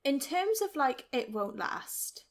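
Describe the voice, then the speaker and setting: Chatty Welsh woman, higher voice. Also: sing-song, slow pace. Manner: chatty, laughs often, many "like" fillers. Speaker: podcast co-host. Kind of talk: casual chat.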